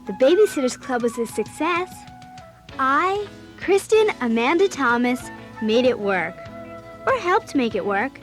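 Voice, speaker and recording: A woman playing an earnest, bossy young girl babysitter in an audio drama, with an expressive, youthful way of talking. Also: high voice, measured pace, faint music behind. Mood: proud, reflective. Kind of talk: audio drama acting and first-person narration.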